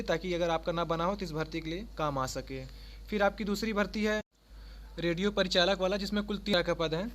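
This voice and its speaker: High-pitched man explaining. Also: fairly bright tone. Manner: instructional, repeats and rephrases points with check-in tags. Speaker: YouTube exam-prep presenter. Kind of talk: informal explainer of job notices. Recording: faint background noise.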